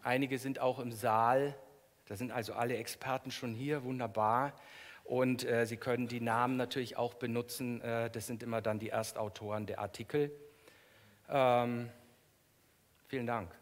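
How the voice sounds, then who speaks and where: A middle-aged man giving an academic keynote, explaining in a halting way with frequent hesitations. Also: mid-range voice, flat delivery. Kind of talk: academic lecture, explanatory, semi-spontaneous. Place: lecture hall in front of an audience. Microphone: headset microphone. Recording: reverberant lecture hall sound.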